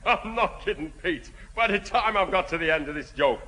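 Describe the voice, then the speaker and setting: A man's voice: sits high, expressive, brisk, pushed and bright. An actor playing a chatty, bumbling lodger in a 1970 radio sitcom, rambling and mangling his words. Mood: chatty, jokey. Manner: rambling, malapropisms, hesitant asides. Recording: hissy old radio recording, echoey hall.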